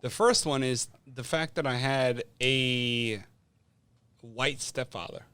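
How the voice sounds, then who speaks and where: A man's voice: medium pitch, slow, pushed and bright, smooth, no echo. A man speaking as a podcast guest, telling personal stories casually in an informal, sweary way and often checking in with "right?".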